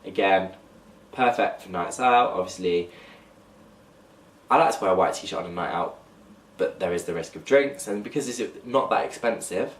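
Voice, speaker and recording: Nasal young man, low and echoey. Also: moderate pace, long pauses, distant mic. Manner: chatty, talks to camera while holding up clothes. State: blocked nose, slightly nasal. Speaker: fashion vlogger. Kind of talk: casual haul vlog. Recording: echoey room, voice off-mic.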